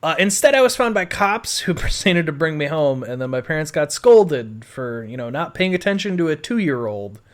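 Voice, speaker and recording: A man's voice: on the high side, sing-song, normal speed, few pauses. A man with an American accent telling personal stories casually, rambling informally and swearing. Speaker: podcast host.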